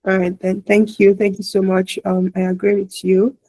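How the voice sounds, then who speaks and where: A woman's voice: mid-range voice, completely flat delivery, moderate pace, dark tone, no room sound, low-quality recording. A woman hosting an interview podcast, agreeable and encouraging, often nodding along with short replies. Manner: agreeable, encouraging, with frequent backchannels. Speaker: podcast host. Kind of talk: conversational interview.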